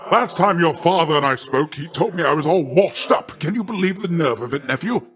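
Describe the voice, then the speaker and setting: A boastful, jovial male god of the sea for a video game. His voice sits somewhat higher than most men's. He speaks in a grandiose, theatrical manner, like a hearty uncle who thinks very well of himself. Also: animated, a little fast, a bit bright. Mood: boastful, amused. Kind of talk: video game character acting.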